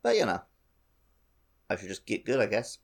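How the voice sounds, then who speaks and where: A man's voice: lowish voice, wildly swooping pitch, normal speed, gravelly. A man co-hosting a podcast, casually discussing a video game and giving his own take. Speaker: podcast co-host.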